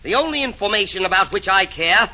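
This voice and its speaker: Fussy vain emperor Nero, high and piercing, 1940s radio play, dull lo-fi. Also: male voice, lively intonation, quick pace, pushed and bright, exaggerated voice. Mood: haughty. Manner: haughty, petulant, affected. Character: vain fussy male Nero, fiddling in hell. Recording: dull old radio broadcast.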